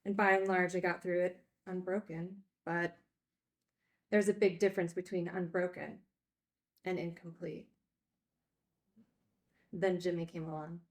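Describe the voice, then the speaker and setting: An actress playing a candid, wry recovering addict who teaches at a group home, in a naturalistic screenplay read. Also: female voice, fairly low pitch, level tone, measured pace, long pauses, natural voice. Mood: reflective, confessional.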